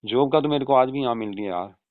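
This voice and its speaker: A man playing an easygoing adult son reassuring his mother in an informal, chatty phone conversation, with a creaky voice on a tinny call recording. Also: mid-range voice, quick pace, dry recording, natural voice. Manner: informal, chatty, often seeking agreement with tag questions. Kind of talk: staged casual phone conversation in Hindi.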